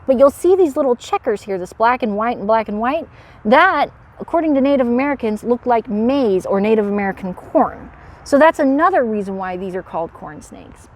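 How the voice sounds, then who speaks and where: A woman presenting as a park educator to children, with an animated manner, explaining and putting questions to young viewers. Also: a little high, brisk. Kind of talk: animated educational read-aloud and animal demonstration.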